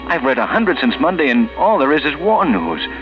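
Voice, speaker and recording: A man's voice: on the high side, big pitch swings, a little fast. A man playing a tormented, anxious husband with a hesitant, brooding delivery in a 1940s radio drama. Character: tormented, anxious husband losing grip on reality. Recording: muffled old radio recording with music.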